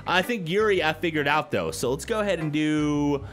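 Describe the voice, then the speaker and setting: A man's voice: on the high side, moderate pace, a bit bright. A man hosting a gaming video with casual, informal, jokey let's play commentary.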